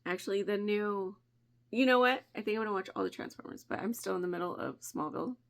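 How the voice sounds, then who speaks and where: Brief: chatty woman vlogger, nasal with a cold, gravelly edge, mid pitch. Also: fast talker. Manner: chatty, friendly, often laughing. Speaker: crafting channel host. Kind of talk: casual vlog chat.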